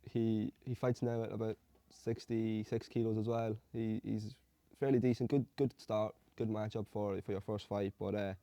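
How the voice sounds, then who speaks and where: Low, dark-voiced young man. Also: moderate pace, no echo. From Hungary. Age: young adult. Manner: relaxed, rambling storytelling with Irish slang. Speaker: amateur MMA fighter. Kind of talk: casual interview answers.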